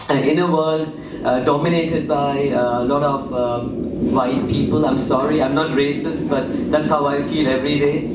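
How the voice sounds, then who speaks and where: A young man from Germany giving a personal presentation talk, halting with many fillers. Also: medium pitch, background noise. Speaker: speaker at a PechaKucha night. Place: event hall. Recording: echoey hall, mic from a distance.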